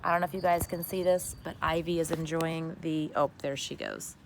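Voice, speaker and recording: A woman's voice: low voice, steady tone, moderate pace, slight background noise. A woman reading a children's story aloud expressively, like a teacher addressing her class.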